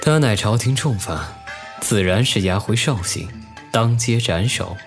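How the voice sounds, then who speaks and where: An actor playing a scheming, lecherous young official in a Chinese costume audio drama, smug and deliberate in manner. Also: male voice, fairly low pitch, lively intonation. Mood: taunting, lecherous. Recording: music under the voice.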